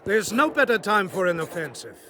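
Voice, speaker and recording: An actor playing a shrewd, confident German panzer general in a game, with a German accent and a theatrical, measured, commanding manner. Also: male voice, high-pitched, big pitch swings, pushed and bright, a little hiss or hum, heavily acted. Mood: confident, calculating. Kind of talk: dramatic video game military briefing.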